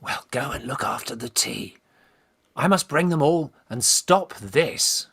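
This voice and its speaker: A man with a British accent playing a bossy, hot-headed young Victorian gentleman in a radio farce, emphatic and blustering. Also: mid-range voice, animated, measured pace, gravelly, husky, heavily acted. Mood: exasperated. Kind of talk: Victorian stage farce, radio play acting.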